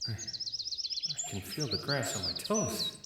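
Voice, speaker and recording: A man's voice: medium pitch, sing-song, unhurried, noisy background. A man playing a bewildered but level-headed man in a robot body for an audio drama. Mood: bewildered, sober.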